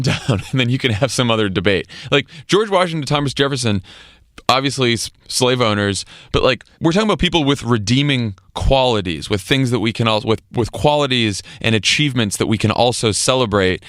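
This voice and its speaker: A man's voice: medium pitch, fairly bright tone, no echo, studio quality. An American man co-hosting a podcast, giving casual political commentary in an emphatic, conversational way. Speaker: podcast co-host.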